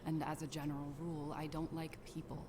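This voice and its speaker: An actress playing a guarded lone warrior in an animated fairy tale, terse, stern and reserved. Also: female voice, deep voice, a little fast, close to an everyday voice. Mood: sombre, reserved. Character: guarded lone warrior woman, weary protector of villagers. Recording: noisy ambience behind the voice.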